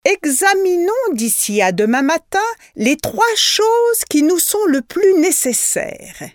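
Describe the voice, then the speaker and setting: A woman's voice: extremely high pitch, big pitch swings, takes it slowly, dry recording, clean studio sound, pitched above the performer's own voice, exaggerated voice. A woman doing a cautious peasant husband. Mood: reasoning. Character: poor peasant husband, cautious and hot-tempered.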